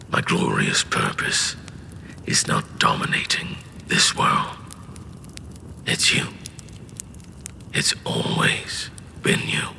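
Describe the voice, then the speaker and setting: A man voicing a vengeful, doomed warrior of fire with a deep growl in a fantasy audio drama. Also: vocal fry, sharp tone, slight background noise, full character voice.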